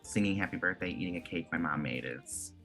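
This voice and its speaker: A young man from Michigan in his twenties, talking in a loose, chatty way and leaning on "like" constantly. His voice sits a bit low but sounds bright and forward. Faint music hums underneath. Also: a little fast. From Michigan, USA. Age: 20s. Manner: chatty, lots of "like" fillers. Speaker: guest. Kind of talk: casual interview chat.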